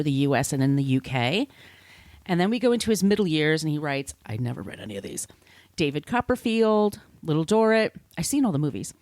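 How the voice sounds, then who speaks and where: A woman's voice: low-pitched, darkish tone, dry recording. An American woman hosting a podcast, telling a story from notes conversationally, with informal, wry asides. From United States. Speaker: podcast host.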